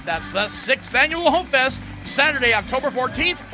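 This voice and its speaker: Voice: high voice, animated, moderate pace, low-quality recording. An announcer reading an upbeat radio ad with a hyped, punchy delivery. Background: music bed.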